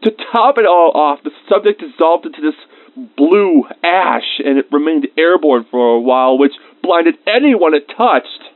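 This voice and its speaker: A man's voice: on the high side, expressive, measured pace, no echo. A man playing a research scientist dictating a confiding, emotionally involved audio diary of lab logs. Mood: outraged, distraught. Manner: confiding, dictated log entries with emotional asides. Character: anxious male research scientist recording lab logs, increasingly shaken. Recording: phone-like thin band-limited sound.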